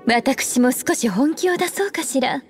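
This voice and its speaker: A knowing witch librarian from an anime game. Her fairly high voice is composed and alluring. It rings with long reverb over faint music. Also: lively intonation, a little slow. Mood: stately. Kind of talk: Japanese anime game dialogue, explaining lore.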